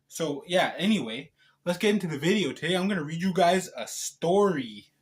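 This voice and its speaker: Man, slightly high-pitched, informal video host, amateur storybook read-aloud, occasional stumbles. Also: expressive, moderate pace. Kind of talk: casual vlog talk and amateur read-aloud.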